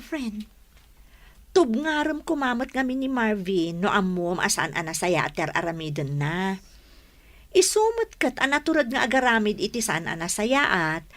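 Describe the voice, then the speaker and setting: A bright, forceful young woman , played by an actress, as the frank best friend in an Ilocano radio drama. Her voice is fairly high and closely, dryly recorded, with an Ilocano Filipino accent. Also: dry recording.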